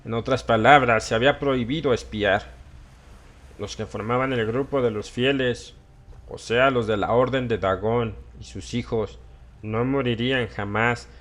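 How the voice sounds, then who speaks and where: A man's voice: lowish voice, a bit bright, lightly acted. Man acting a haunted, ranting old drunkard. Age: older. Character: haunted, ranting old drunkard recounting small-town horrors. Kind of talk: dramatised horror audiobook monologue.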